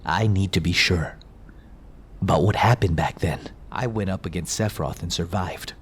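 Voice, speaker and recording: An actor playing a haunted young mercenary in a video game, earnest, intense and halting. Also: male voice, low voice, natural voice. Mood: subdued brooding. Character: brooding young male mercenary, haunted and wounded. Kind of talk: dramatic video game acting. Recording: music behind the voice.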